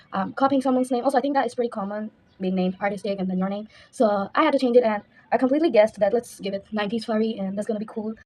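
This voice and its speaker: A woman's voice: a little high, dark tone, low-quality recording. A fifteen-year-old young woman, chatting casually as a YouTuber answering viewer questions. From Bangladesh, raised mostly in Dhaka. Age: teenager, fifteen.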